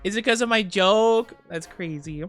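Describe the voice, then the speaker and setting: A woman's voice: mid-range voice, moderate pace, faint music behind. A woman streamer casually chatting with her viewers from her home streaming room, playful and teasing as she answers chat.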